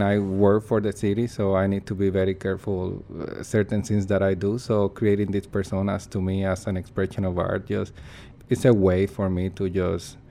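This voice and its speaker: Earnest, reflective man, lowish voice. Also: warm tone. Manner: earnest, reflective, searching for words. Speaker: performance artist. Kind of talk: interview answers.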